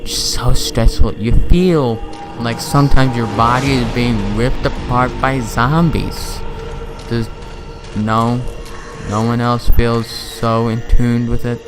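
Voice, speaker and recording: A man's voice: mid-range voice, steady tone, slow pace. A young man in his teens rambling chattily while playing a video game at home at night, with jokey asides. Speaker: gaming video host. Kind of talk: casual gameplay commentary. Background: game sounds and music.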